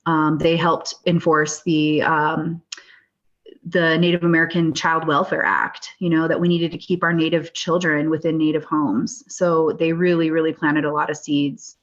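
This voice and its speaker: A woman's voice: low voice, little pitch movement, moderate pace, muffled recording. A woman guest artist in an interview, speaking earnestly and thoughtfully, often restarting her sentences. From Standing Rock, US. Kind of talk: interview conversation. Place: Denver, US.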